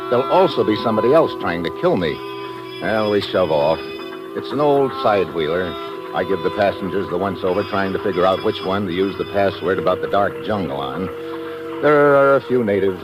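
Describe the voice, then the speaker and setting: An actor playing a tough, wry American investigator in a vintage hard-boiled radio drama, with clipped delivery. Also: male voice, low voice, poor audio. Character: tough wry American male investigator narrating his adventure. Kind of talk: 1950s radio drama acting, hard-boiled first-person narration. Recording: vintage radio recording with music behind.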